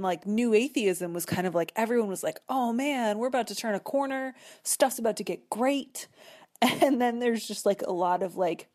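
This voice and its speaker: A woman's voice: medium pitch, no echo, clean studio sound. An American woman speaking as a guest in a casual, conversational interview, thoughtful and colloquial, peppering sentences with "like" and "you know". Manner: thoughtful, colloquial, frequent 'like' and 'you know'.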